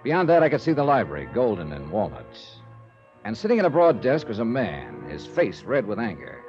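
Wry narrator of a 1950s radio detective drama. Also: male voice, medium pitch, sing-song, fairly quick, music in the background. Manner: wry, matter-of-fact. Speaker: lead actor and narrator, investigator. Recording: dull old radio transcription.

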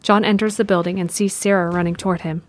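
A woman's voice: lowish voice, fairly even intonation, fast, noisy background. A woman reading scripted narration for an audio drama as its narrator. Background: music.

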